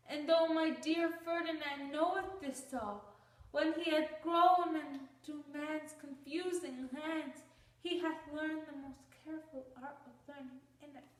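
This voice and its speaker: A woman playing a young romantic wife in an earnest, declamatory Shakespearean-style stage soliloquy. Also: high-pitched. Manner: declamatory, earnest, archaic phrasing. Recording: roomy, distant microphone.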